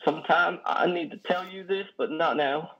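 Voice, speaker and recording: A man acts out a lonely trucker confessing in a play reading. The voice is fairly high for a man and raspy, halting and folksy. The video call audio is slightly dull. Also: fairly bright tone. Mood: lonely, downcast. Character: lonely, guilt-ridden, worn-out male trucker confessing. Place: living room, on a sofa. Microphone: headset on video call.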